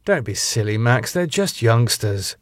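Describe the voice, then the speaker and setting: A man's voice: mid-range voice, very animated, a little slow, no echo, studio quality. A man voicing a polite, soothing middle-aged woman, the club owner's wife, who talks genteelly.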